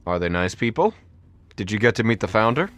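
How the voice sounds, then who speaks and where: A man's voice: on the low side, very animated, brisk, a bit bright. A man playing an inquisitive radio show interviewer in an audio drama. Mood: curious, presenting.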